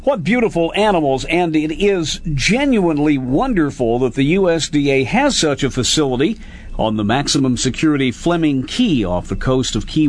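A man working as a radio journalist, doing a conversational interview on location. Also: on the high side. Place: on location at an animal quarantine facility. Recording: loud outdoor field noise.

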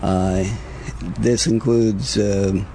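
An American man answers interview questions as a government official. He talks very slowly in a slightly low voice, choosing formal words with frequent hesitations. There is faint noise behind him from the field recording. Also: fairly even intonation, warm tone. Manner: measured, hesitant, formal wording with frequent pauses.

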